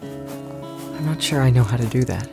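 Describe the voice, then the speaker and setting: An actor playing a loyal, caring and determined survivor in a post-apocalyptic audio drama, speaking earnestly and supportively. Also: male voice, mid-range voice, a little slow, vocal fry, over music.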